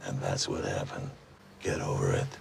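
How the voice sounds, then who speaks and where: A man's voice: extremely low pitch, completely flat delivery, moderate pace, creaky, crowd noise, exaggerated voice. An actor playing a cold, callous older father, curt and dismissive, in a TV drama. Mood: cold contempt. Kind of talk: TV drama acting. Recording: noisy TV audio with ambience.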